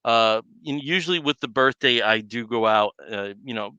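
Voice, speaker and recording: A middle-aged man chatting as a podcast host, rambling through his stories with plenty of fillers. His voice is bright and crisp. The sound is dry and slightly dull, as from a home mic on a call. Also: medium pitch, normal speed, no echo. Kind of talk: casual chat.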